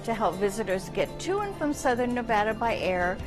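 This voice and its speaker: A woman's voice: on the high side, very animated, fairly quick, over music, low-quality recording. A woman reading a polished, formal promotional narration.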